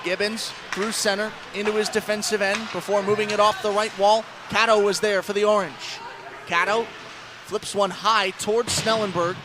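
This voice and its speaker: A man's voice: sits high, level tone, moderate pace. An American man calling live ice hockey play-by-play as a radio announcer. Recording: clear rink noise behind commentary. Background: ice hockey game noise.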